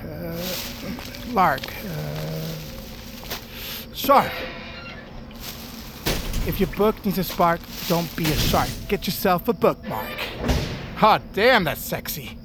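High-voiced, goofy salesman. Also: slow, vocal fry, long pauses, noisy background, heavily acted. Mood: musing. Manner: rambling, excitable, talks to himself. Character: goofy, chatty, easily spooked amateur bookmark salesman. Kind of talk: comedic audio drama acting.

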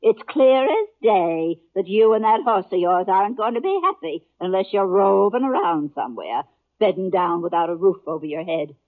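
An actress playing a brisk, no-nonsense older frontier aunt with a husky voice and tart, matter-of-fact delivery in 1950s radio western style. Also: female voice, mid-range voice, lively intonation, lightly acted. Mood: brisk, knowing. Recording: muffled 1950s radio recording.

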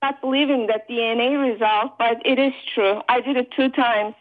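A woman's voice: on the high side, steady tone, moderate pace. A middle-aged woman chatting casually as a phone-in caller, over a thin telephone line. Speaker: phone-in caller, host's mother. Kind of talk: casual chat.

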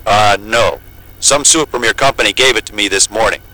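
A man's voice: lowish voice, moderate pace, dry recording, low-quality recording. A man playing a wry, down-to-earth helicopter pilot in an audio drama, plain-spoken and joking in a naturalistic way. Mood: casual, advising. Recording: loud engine hum of a helicopter cabin.

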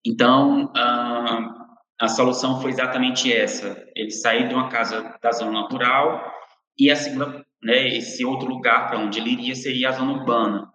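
A man answers interview questions about his writing, pausing and restarting his phrases often. His voice sits at a middle pitch. It rings through an echoey room with a long reverb tail. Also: fairly even intonation, pushed and bright, lo-fi sound. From interior of Ceará, Brazil. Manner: halting, many restarts and "né". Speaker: novelist guest. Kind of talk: casual panel interview.

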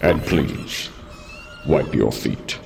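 An actor playing a pompous alien king who booms slow, grandiose proclamations. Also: wildly swooping pitch, faint music behind, exaggerated voice. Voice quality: deep gravelly grandiose voice. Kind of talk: comedic sci-fi radio serial acting.

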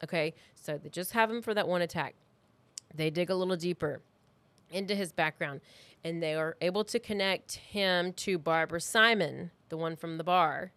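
A woman's voice: lowish voice, normal speed, fairly bright tone, dry recording. Woman in her thirties, dry close sound. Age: 30s. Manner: informal, loosely worded, acts out reported remarks. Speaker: podcast host telling a true crime story. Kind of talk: casual conversational storytelling.

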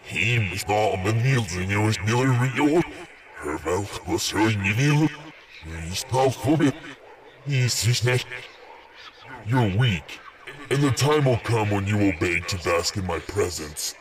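Slow, menacing demon commander. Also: male voice, on the low side, expressive, distant mic, a crowd in the background. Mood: menacing, haughty. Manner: slow, ominous, haughty. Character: menacing male demon commander, ancient, contemptuous, cryptic.

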